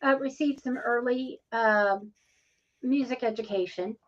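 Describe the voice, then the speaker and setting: Female co-host, rambling and chatty, slightly high voice, muffled sound. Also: a little slow. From Ohio, USA. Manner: chatty, rambling, with many ums. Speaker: podcast co-host. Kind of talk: casual interview conversation.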